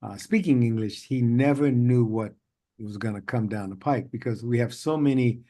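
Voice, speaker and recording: Man hosting a podcast, rambling storyteller, laughs a lot, mid-pitched voice. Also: moderate pace, warm tone. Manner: rambling, chatty storyteller who laughs often. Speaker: podcast host. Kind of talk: casual interview chat.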